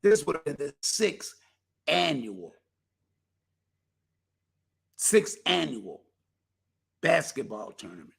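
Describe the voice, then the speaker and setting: A man's voice: on the high side, takes it slowly, vocal fry, pauses often, husky. A man hosting a community talk show alone, talking in a folksy, preacherly way and losing his voice. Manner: folksy, preacherly, animated exhortation. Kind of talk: casual solo talk show promotion.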